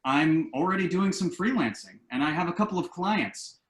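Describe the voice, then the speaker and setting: Actor as glib male schemer, earnest pitch-man manner, higher male voice, stage-play read, roomy echo. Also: very animated, moderate pace, a bit bright. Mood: earnest, confident. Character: glib, enterprising grown son pitching shady business schemes. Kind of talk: stage-play acting in a virtual table read. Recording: roomy, echoing home recording.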